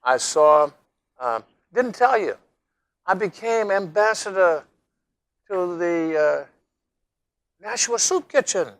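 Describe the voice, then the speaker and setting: A man's voice: sits high, expressive, slow pace, long pauses, a bit bright, no room sound. An older man hosting a local interview show, talking in a rambling, folksy way and addressing viewers as folks. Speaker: talk show host.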